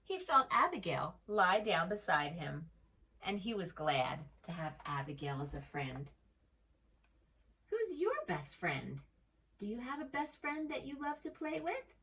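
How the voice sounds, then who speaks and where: A woman storyteller reading aloud to preschoolers in an expressive way, pausing to address the young listener directly. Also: medium pitch, far from the mic. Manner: slow, animated, addresses the young listener with questions. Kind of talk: expressive children's read-aloud.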